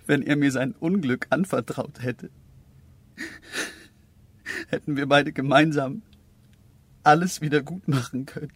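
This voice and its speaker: An actor playing a sensitive young gentleman in anguished, tearful grief in a radio drama. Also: a little high, measured pace, pauses often, husky, no echo. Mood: grieving.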